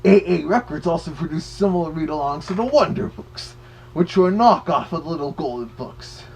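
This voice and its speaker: A man's voice: fairly high pitch, darkish tone, slight background noise, low-quality recording. A man voicing a cartoon Big Bad Wolf who gives chatty, slightly hesitant commentary as a record reviewer.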